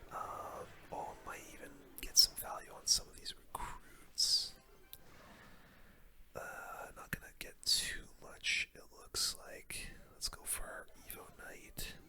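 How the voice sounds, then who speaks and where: Whispering ASMR gaming host. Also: very high-pitched. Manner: soft breathy whispering, casual gamer slang. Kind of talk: whispered ASMR gameplay commentary. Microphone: close microphone.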